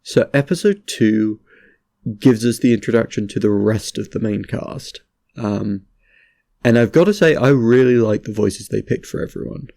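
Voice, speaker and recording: A man with a cold, speaking in a stuffy voice as he rambles casually through an anime review, halting with many restarts. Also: lowish voice, gravelly, mellow, no echo. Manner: halting, lots of restarts and fillers.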